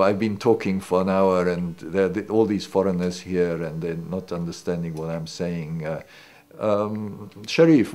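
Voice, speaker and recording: A man's voice: low voice, a little mellow. A man in his sixties, not a native English speaker, recalling the past in a seated interview in a living room, hesitant with many restarts. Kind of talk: reflective recollection. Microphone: off-camera, seated interview.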